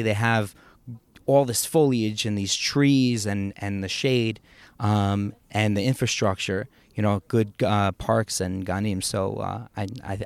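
Low-voiced podcast host, dry sound. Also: male voice, gravelly, smooth, dry recording. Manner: friendly, laughs easily, hesitant fillers. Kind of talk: casual interview chat.

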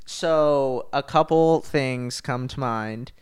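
A man co-hosting a podcast, telling stories in casual, enthusiastic chat and repeating words when excited. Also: mid-range voice, takes it slowly, clear, resonant tone. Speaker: podcast co-host.

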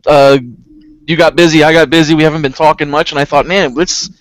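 A man's voice: a little high, flat delivery, fast talker, dry recording. A man hosting a casual podcast chat in a jokey, loose, informal way. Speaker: podcast host, musician.

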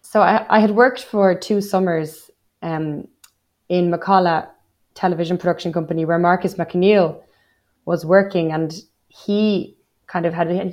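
A woman's voice: on the low side, darkish tone. A woman in her thirties with a Dublin accent, a poet talking about her writing life in a casual interview, reflective and self-deprecating. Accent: Dublin Irish. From Ireland. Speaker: guest poet.

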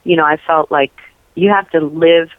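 A woman's voice: deep voice, steady tone, normal speed, no echo. A woman from New York and New Jersey tells her story as an interview guest. She talks earnestly and wanders through her thoughts, leaning on "you know" fillers. Her voice comes through a tinny, phone-like line with no room sound. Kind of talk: casual testimony interview.

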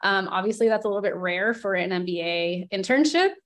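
A woman's voice: mid-range voice, animated, brisk. A woman in her thirties speaking as a podcast guest in a casual, conversational way, with many fillers and light laughs. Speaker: podcast guest and board member.

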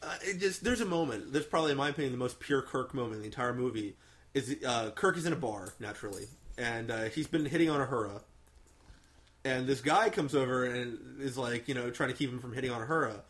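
A man's voice: medium pitch, fast talker, fairly bright tone. A man hosting a casual, profane film discussion, telling things with enthusiastic emphasis. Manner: enthusiastic, emphatic storytelling with acted-out quotes. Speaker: host of a film review discussion. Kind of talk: casual, profane fan chat.

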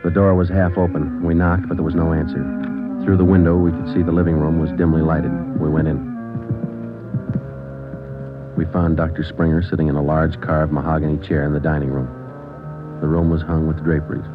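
A terse police sergeant who narrates in a flat, clipped way with a very deep voice and a brisk pace. It sounds like an old radio broadcast: muffled, dull sound with music underneath. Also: male voice. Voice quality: clipped, flat, matter-of-fact. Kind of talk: 1950s radio crime drama.

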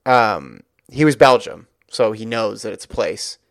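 A man's voice: fairly low pitch, expressive, unhurried, no echo, clean studio sound. A middle-aged man hosting a podcast, chatting casually in an upbeat, rambling way. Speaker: podcast host. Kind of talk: casual chat.

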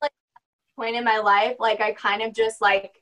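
A woman's voice: on the high side, level tone, normal speed, fairly bright tone. Young woman, podcast guest, rambling casual chat, lots of fillers. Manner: rambling, heavy use of "like" and "you know". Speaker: podcast guest, spiritual practitioner.

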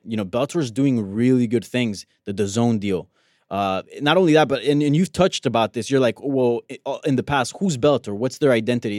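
A man's voice: medium pitch, darkish tone, no room sound. Laid-back co-host guy, spanish is his first language, lots of you knows, dry sound. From Colombia. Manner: easygoing, many fillers like you know. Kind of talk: casual MMA talk.

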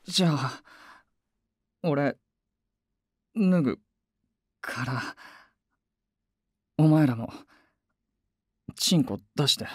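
A man's voice: on the high side, expressive, takes it slowly, pauses often, breathy edge, no room sound, heavily acted. A man voicing a tipsy young man coaxing his friends in a Japanese audio drama. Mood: coaxing, hesitant.

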